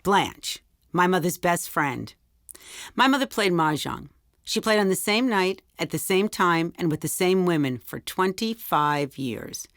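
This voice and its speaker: A woman's voice: lowish voice, expressive, measured pace, fairly bright tone, no echo, clean studio sound. A woman performer telling a scripted theatrical story. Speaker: monologue performer.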